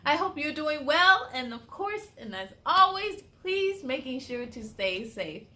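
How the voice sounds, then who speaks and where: A woman's voice: high voice, sing-song, a little slow, bright, forceful tone. A woman hosting a children's story time, reading aloud expressively with a sing-song delivery and chatty asides to young listeners.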